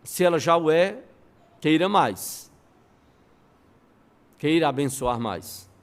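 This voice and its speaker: A Brazilian man preaching a sermon in an emphatic, deliberate way, repeating key phrases and telling stories with rhetorical questions. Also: a little high, lively intonation. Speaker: pastor preaching. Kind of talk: sermon with storytelling and quoted dialogue. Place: church service before a congregation.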